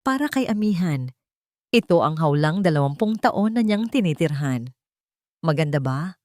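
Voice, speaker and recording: A woman's voice: on the low side, big pitch swings, moderate pace, darkish tone, no room sound, studio quality. A woman reading a scripted romantic drama as a storyteller.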